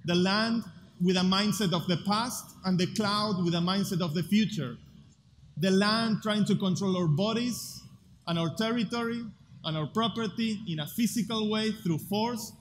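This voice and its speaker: High-voiced Argentine man in a big hall. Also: a little hiss or hum. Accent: Argentine Spanish. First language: Spanish. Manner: earnest, deliberate with rhetorical pauses. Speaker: speaker at a future festival. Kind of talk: conference talk. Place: large hall at a festival. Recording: live hall with long reverb, faint ambience.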